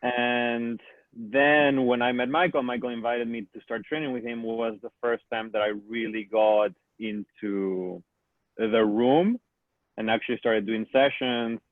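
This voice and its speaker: A man from Peru, a studio assistant, introducing himself on a call in a steady, matter-of-fact way. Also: mid-range voice, measured pace, no room sound. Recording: tinny phone-like line.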